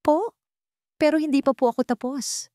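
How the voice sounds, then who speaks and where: A woman's voice: sits high, fairly even intonation, normal speed, warm tone, no room sound, studio quality, pitched above the performer's own voice, lightly acted. A woman voicing a timid, earnest young female assistant who speaks politely and hesitantly in a romance audio drama. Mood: startled, meek.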